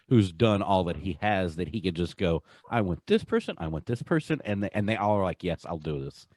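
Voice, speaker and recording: A man's voice: low-pitched, no room sound. A young man co-hosting a podcast in casual chat, rambling and stammering as he repeats words. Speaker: podcast co-host.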